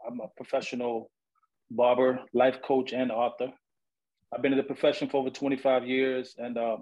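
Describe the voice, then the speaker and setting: A man who is a barber, life coach and author, answering interview questions in an earnest, steady way. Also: mid-range voice, low-quality recording.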